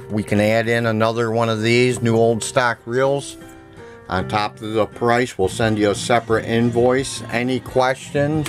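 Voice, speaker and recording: A middle-aged man giving a casual, plainspoken product demo with repetitive sales patter, recorded on a handheld camera indoors. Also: mid-range voice. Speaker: seller demonstrating used audio gear. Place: indoor room at home, music playing behind. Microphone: handheld camera mic, speaker behind camera.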